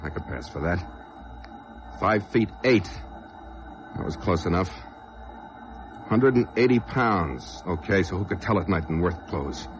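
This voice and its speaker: Desperate fugitive on muffled old radio. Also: male voice, sits low, animated, a little slow, long pauses, soft background music. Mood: brooding, tense. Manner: brooding, haunted, swinging into overwrought outbursts. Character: desperate fugitive man who killed a truck driver. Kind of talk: old-time radio crime drama, first-person narration. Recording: dull, noisy old radio recording.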